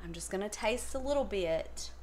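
A woman's voice: medium pitch, expressive, normal speed, a little mellow. A middle-aged woman talking in a casual, folksy way to a camera a step or two away in her home kitchen, as host of a cooking demonstration. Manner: chatty, folksy, informal slangy phrasing. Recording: clearly noisy home recording with clicks.

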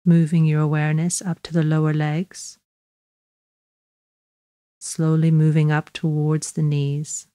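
A woman guiding a body scan meditation in a slow, measured, gently instructive way, leaving long pauses. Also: low-pitched, monotone, no room sound, clean studio sound. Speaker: mindfulness meditation guide.

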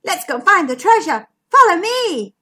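An impatient, boastful little adventurer from a storybook, performed by a woman. He is bossy and grumbly, with a forceful, high voice that swings widely. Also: big pitch swings, unhurried, full character voice. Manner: urging, boastful, loud. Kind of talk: children's storybook character voice.

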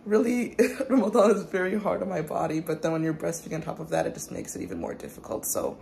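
Woman, 30s, low pitch, gravelly, casual phone vlog, tired candid talk. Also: expressive, quick pace, darkish tone, slight background noise. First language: English. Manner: tired, candid, talks straight to the camera. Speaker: vlogger, mother. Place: in a parked car and a home kitchen. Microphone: phone held at arm's length.

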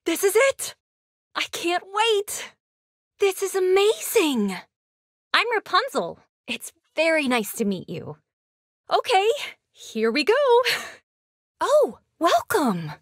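A bubbly young princess from a video game. Her voice is very high and swings wildly up and down with breathless, sweet eagerness. The recording is dry and close. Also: slow, dry recording, heavily acted. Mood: friendly. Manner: breathless, excitable, sweet, expressive. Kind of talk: animated video game character voice clips.

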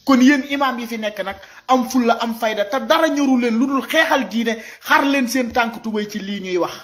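High-pitched Senegalese man, exhorting. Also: brisk. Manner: animated, repetitive, exhorting. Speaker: social media commentator. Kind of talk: impassioned informal video commentary. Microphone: phone at arm's length.

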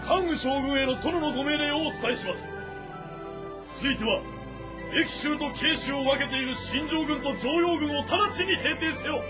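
An actor playing a dutiful, earnest army messenger of ancient China, reporting formally in a historical drama. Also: male voice, extremely high pitch, moderate pace, over music. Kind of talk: Japanese historical audio drama acting.

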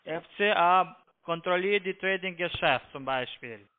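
High-voiced foreign-accented man on phone. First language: not German. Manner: pushy, repetitive, halting. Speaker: call-centre phone agent. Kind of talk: phone sales pitch in broken German. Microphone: telephone. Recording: tinny narrow phone line.

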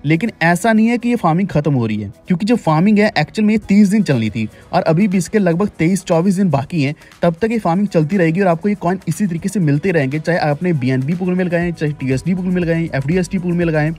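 Male host, slightly higher voice, repeats and urges viewers, screen-recording tutorial. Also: expressive, fast, hardly any pauses, darkish tone, faint music behind. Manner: explanatory, repetitive, urging viewers. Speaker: crypto channel host.

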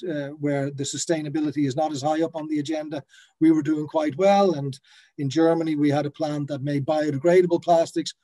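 Mellow-voiced Dutch-raised man in his fifties. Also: fairly high pitch. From Netherlands. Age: 50s. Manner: hesitant, many fillers and restarts. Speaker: brewery founder, guest. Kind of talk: video call interview. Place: office with a brand banner behind. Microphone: laptop webcam on a video call.

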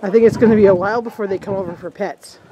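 A woman's voice: medium pitch, steady tone, racing, dull audio, crowd noise. A woman on a farm pasture, chatting casually and cheerfully with playful commentary on the animals. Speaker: homesteader co-host. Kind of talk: casual chat while filming. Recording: noisy outdoor camera audio. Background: farm animals and outdoor noise.

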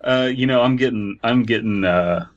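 A man's voice: mid-range voice, normal speed, highs cut off. A man hosting a comedy podcast, reading aloud and chatting with a mocking, joking manner. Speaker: podcast host. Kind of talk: comedic read-aloud with riffing commentary.

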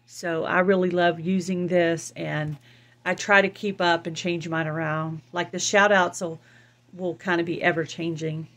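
A woman's voice: lowish voice, fairly even intonation, measured pace, fairly bright tone. A woman hosting a video channel, talking casually and informally to her viewers. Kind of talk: casual vlog chat. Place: indoors, filming a laptop screen.

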